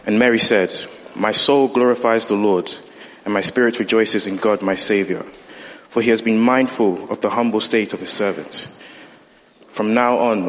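A low-voiced man reads scripture and prays in church in an earnest, slightly halting way. The room is echoey with a long reverb, and faint ambience sits behind him. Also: a little hiss or hum. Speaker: church member leading reading and prayer. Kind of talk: scripture reading and prayer. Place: church building.

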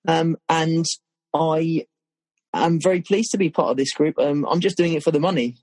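Guest: late-thirties man, slightly higher pitch, husky, dry close sound, rambling anecdotes. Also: normal speed, dry recording. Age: 30s. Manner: relaxed, rambling storytelling with fillers. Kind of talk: casual podcast chat.

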